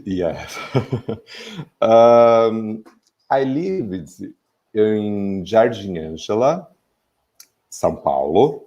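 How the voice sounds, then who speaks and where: A man with a Brazilian accent speaking English haltingly on a video call, with many hesitant fillers. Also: lowish voice, dark tone, highs cut off. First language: Portuguese. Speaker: guest, psychologist.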